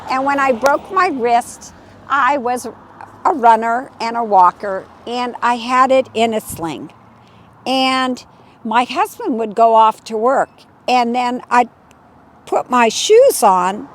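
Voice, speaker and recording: Slow, chatty woman giving an outdoor tour. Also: fairly high pitch, slight background noise. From California. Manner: friendly, chatty storytelling. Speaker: real estate agent. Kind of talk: casual walking tour vlog. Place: outdoors on a lakeside golf course trail.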